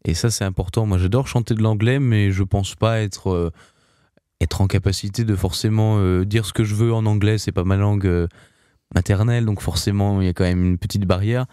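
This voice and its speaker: A man's voice: on the low side, fairly even intonation, fairly quick, warm tone, clear, resonant tone, no echo, studio quality. A young man, a singer, answering in a casual radio interview, hesitant with many restarts and fillers. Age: young adult.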